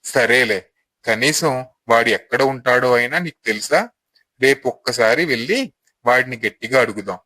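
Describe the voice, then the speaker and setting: A man acting as a stern shopkeeper father in a moral tale. He scolds indignantly in a sharp, bright voice of medium pitch, recorded dry. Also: lively intonation, moderate pace, no room sound. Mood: scolding. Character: stern, indignant father, a village shop owner.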